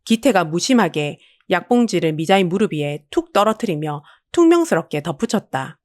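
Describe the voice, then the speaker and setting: Female storyteller, dramatic audiobook narration, lively intonation, close dry sound. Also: on the low side, normal speed, no echo.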